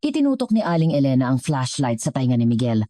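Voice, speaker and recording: Very low-voiced Filipino woman storyteller. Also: big pitch swings, fast talker, warm tone, dry recording, studio quality. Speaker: story narrator. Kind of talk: scripted dramatic story narration.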